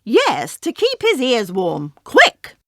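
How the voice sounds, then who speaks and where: Man voicing a shrieking storybook witch, high and sly, lively swoops, dry sound. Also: takes it slowly, no echo, full character voice. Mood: gloating. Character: cackling wicked witch disguised as doctor.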